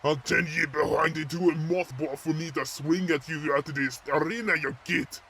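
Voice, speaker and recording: Man as a bright, threatening Scottish golf barbarian. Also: on the high side, steady tone, normal speed, a little hiss or hum. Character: barbaric Scottish male golfing brawler, threatening. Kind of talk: amateur web cartoon acting.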